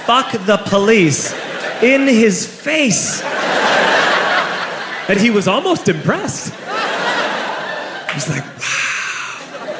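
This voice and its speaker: A man telling a long story as a stand-up comedian, talking casually and building to shouted punchlines in front of a live audience. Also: sits high, background noise. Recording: echoey club with audience laughter.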